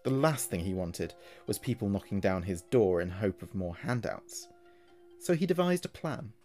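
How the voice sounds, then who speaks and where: Male horror podcast narrator, lowish pitch, deliberate storytelling read over a music bed. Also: animated, darkish tone.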